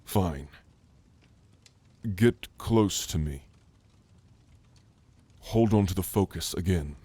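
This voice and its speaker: A man playing a gentle, scholarly orc mage in a soft, earnest, halting ASMR roleplay, speaking intimately to the listener. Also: low-pitched, breathy edge, no room sound, heavily acted. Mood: gentle, coaxing.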